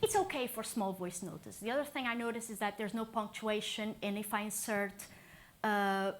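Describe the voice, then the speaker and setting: A woman's voice: medium pitch, normal speed. A young woman from Portugal, giving a chatty, upbeat talk at a tech meetup, often laughing at herself. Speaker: conference speaker.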